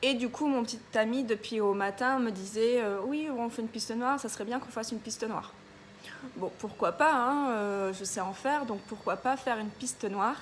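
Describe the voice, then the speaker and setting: A woman's voice: fairly high pitch, normal speed, slight background noise. A young woman vlogger telling a story in a casual, chatty way, with many hesitations and a stuffy nose from a cold. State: congested, a bit ill.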